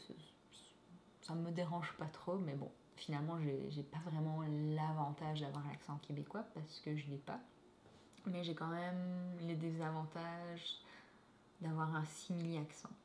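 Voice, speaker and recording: Low-voiced Belgian woman in an echoey room. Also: a little mellow, slight background noise. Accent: Belgian French, lightly tinged by Québec. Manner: chatty, many fillers and restarts. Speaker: vlogger answering viewer questions. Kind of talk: casual vlog talk.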